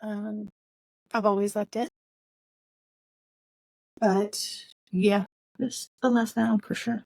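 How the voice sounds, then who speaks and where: A woman's voice: medium pitch, flat delivery, slow, vocal fry, a little mellow, no room sound, rough recording. A middle-aged woman co-hosting a book podcast, chatting casually and repeating short phrases for emphasis. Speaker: podcast co-host.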